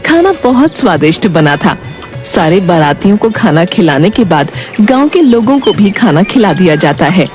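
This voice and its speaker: A woman's voice: on the low side, very animated, moderate pace, darkish tone, soft background music. A woman reading scripted narration for a moral bedtime story.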